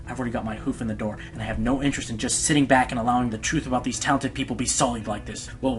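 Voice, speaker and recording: A man's voice: mid-range voice, a bit bright, natural voice. Over background music comes a man acting a male pony radio host in an audio drama. His mid-range voice is earnest and self-deprecating, with a defensive, passionate temper. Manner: earnest, hesitant, turning indignant. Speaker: radio show host being interviewed. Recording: music behind the voice.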